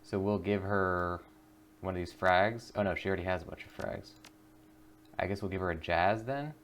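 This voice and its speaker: A man's voice: sits low, normal speed, a little hiss or hum. A man hosting a gaming video, giving casual commentary and reading the game's text aloud. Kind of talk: casual game commentary with read-aloud narration.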